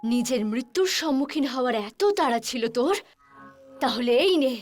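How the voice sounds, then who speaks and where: A woman's voice: high-pitched, expressive, moderate pace, faint music behind. A woman voicing a vengeful, murderous female ghost in a horror story, speaking with menace. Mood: menacing, murderous. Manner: menacing, threatening.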